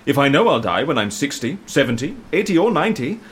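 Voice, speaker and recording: Wry male agent, lively intonation, sardonic questioning, audio drama. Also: a little high, fast talker, breathy edge, full character voice. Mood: animated. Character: wry agent serving a death-fearing Venetian client.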